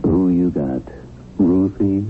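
An actor playing a smug, slick, needling devilish tempter in a radio drama, talking in a cocky, colloquial way. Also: male voice, sits low, slow pace, narrow, tinny audio. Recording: thin band-limited radio broadcast, faint hiss.

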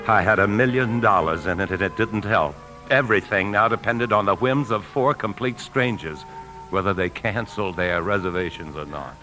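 A deep-voiced American husband from a 1950s radio play, edgy and desperate. He presses his case insistently and narrates in a confiding tone. It is an old broadcast with music in it. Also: normal speed, bright, forceful tone. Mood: tense recounting.

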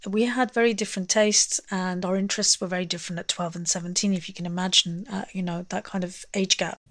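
A woman's voice: on the low side, fairly even intonation, fast, dry recording, studio quality. A woman telling personal memories conversationally, leaning on key words as she goes. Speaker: interview guest, singer. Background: music.

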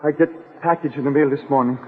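A nervous immigrant diamond cutter, acted by a man in a vintage radio adventure. His is halting and earnest, pitched a little high. The sound is reverberant and very lo-fi. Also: steady tone, close to an everyday voice. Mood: anxious, confiding. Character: anxious European immigrant diamond cutter, male, pleading. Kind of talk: 1940s radio adventure acting. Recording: reverberant, muffled old radio recording.

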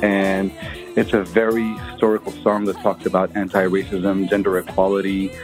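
A man from Lebanon with a very low voice speaks in a near monotone. His voicemail is slow and thoughtful, with the odd filler as he gathers his words. Faint music plays beneath him. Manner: unhurried, reflective, with pauses and fillers. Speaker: listener leaving a voicemail. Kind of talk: voicemail message.

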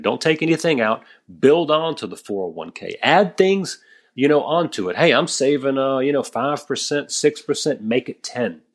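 A man in his thirties, a guest on a podcast, giving energetic, emphatic advice and often calling the host "man". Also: mid-range voice. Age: 30s. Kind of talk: podcast conversation.

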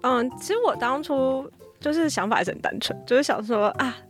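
A woman's voice: sits high, brisk, faint music behind. A woman with a Taiwanese accent chatting casually as an interview guest, rambling and circling back over her phrases. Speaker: podcast guest, audio drama app founder.

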